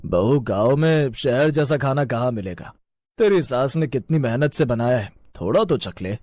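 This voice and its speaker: A man voicing a gentle, self-sacrificing elderly village father-in-law in an animated family story. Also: medium pitch, moderate pace, dry recording, close to an everyday voice.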